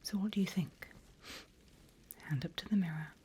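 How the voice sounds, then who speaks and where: A woman voicing a seasoned, wry demon instructor in a slow, breathy ASMR whisper, guiding a trainee with dry humour. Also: lowish voice, darkish tone, a little hiss or hum, lightly acted. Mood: wry, coaching. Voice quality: breathy, mostly unvoiced whisper. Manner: slow, deliberate, dryly humorous.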